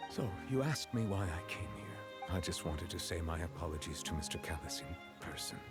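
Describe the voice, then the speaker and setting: A man playing a grave, courteous, aloof chief justice in a video game, speaking in a formal, measured way. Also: low-pitched, expressive. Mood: regretful. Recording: loud game music underneath.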